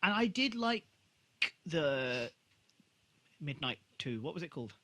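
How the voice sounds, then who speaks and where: Animated, sweary man with a bright voice. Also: fairly high pitch, unhurried. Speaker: podcast co-host. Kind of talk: casual TV episode discussion.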